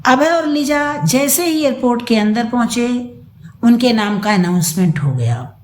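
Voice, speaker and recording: A woman narrating a scripted audio story in a dramatic, deliberate storytelling manner. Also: on the high side, lively intonation.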